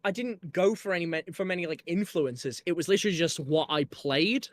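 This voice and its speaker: A man's voice: fairly high pitch, a little fast, no room sound. A young man with a Yorkshire accent talks about his own music in a rambling, casual way, full of fillers and restarts. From Northern England. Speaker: musician guest discussing his album. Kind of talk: casual chat.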